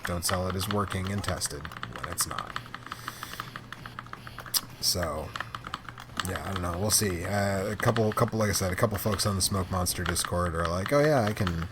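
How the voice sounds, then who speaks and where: Guy with a lowish voice rambling casually while gaming, noisy room w clicking and typing. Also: normal speed, long pauses. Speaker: host playing an arcade game. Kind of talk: casual gaming chat and commentary. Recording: clearly noisy room, clicking controls and keys.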